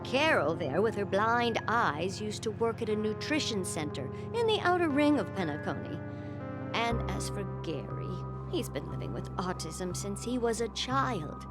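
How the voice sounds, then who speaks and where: Gentle, expressive older woman, over music. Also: medium pitch. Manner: earnest, reflective storytelling. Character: gentle old woman caring for orphaned children. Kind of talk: video game cutscene acting. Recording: loud music under the voice.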